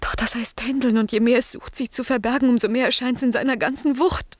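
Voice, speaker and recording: An actress playing an innocent, sheltered young maiden in classical radio drama, soft and earnest, often sinking to a breathy near-whisper. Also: female voice, fairly high pitch, fairly even intonation, quick pace, dry recording, natural voice. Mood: tender, yearning.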